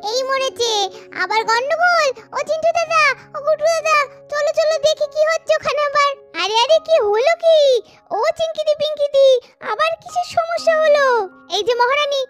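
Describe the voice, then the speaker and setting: Very high-pitched sassy little schoolgirl doll. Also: a bit bright. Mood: scolding. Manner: childish, colloquial scolding and teasing. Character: little schoolgirl dolls, chatty, bickering and sassy. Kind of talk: children's doll-story cartoon dubbing.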